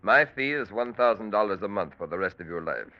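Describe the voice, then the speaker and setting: An old muffled radio crime drama recording. a calculating surgeon with a low voice and wide-swinging intonation. His speech is formal and precise, with a cold, unruffled menace. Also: lively intonation, brisk. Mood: cold, menacing. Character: cold, calculating male surgeon extorting his criminal patients.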